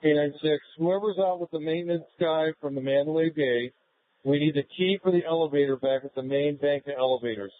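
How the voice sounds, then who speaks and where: A man's voice: on the high side, brisk, dry recording. A man speaking as a police officer in terse radio traffic, heard over a narrow police radio.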